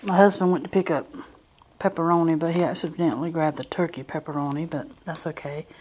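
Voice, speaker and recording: A woman's voice: low voice, fairly even intonation, brisk, a little mellow. A woman talking casually and plainly as she narrates a grocery haul on a handheld camera at home. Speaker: home vlogger. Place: indoors at a kitchen or dining table. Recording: noisy home recording, crinkling packages. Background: crinkling packaging, clicks, background noise.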